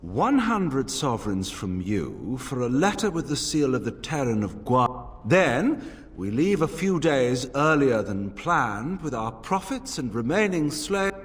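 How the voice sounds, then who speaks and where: An actor playing a slick, haggling slave trader in a video game, with smooth and theatrical delivery. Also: male voice, mid-range voice, sing-song. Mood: smug, bargaining. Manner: smooth bargaining, theatrical, deliberate. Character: slick haggling male slave trader, smug until cornered. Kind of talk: video game character acting. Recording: long hall reverb.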